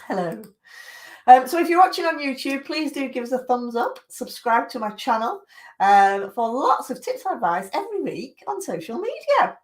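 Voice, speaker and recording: A middle-aged woman with a croaky voice from a chest infection, giving social media tips in a chatty live stream. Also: sits high, big pitch swings, normal speed. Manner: chatty, greets viewers by name.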